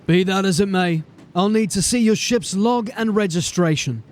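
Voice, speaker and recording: A man's voice: high voice, normal speed, noisy background, natural voice. A man playing a stern male city watch sergeant who questions people in a formal, suspicious way. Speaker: police sergeant.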